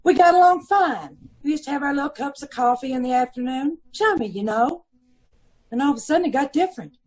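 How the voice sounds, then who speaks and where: A high-pitched, brusque apartment manager played by a woman in an old-time radio drama. She speaks in blunt, colloquial working-class phrases and is quick to get defensive. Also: lively intonation. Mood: confiding.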